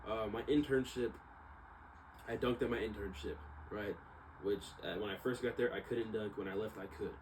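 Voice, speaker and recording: Young American male, lowish pitch, slangy rambling vlog storyteller, noisy ambient recording. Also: steady tone, moderate pace. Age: young adult. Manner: rambling, self-correcting, slangy. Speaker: vlogger, exercise science student. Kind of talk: casual storytelling monologue.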